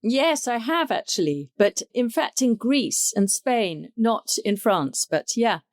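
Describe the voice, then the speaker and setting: A woman's voice: on the high side, big pitch swings, takes it slowly, no room sound, clean studio sound. A woman hosting a podcast interview, giving short encouraging replies and follow-up questions. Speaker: podcast host. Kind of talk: conversational interview.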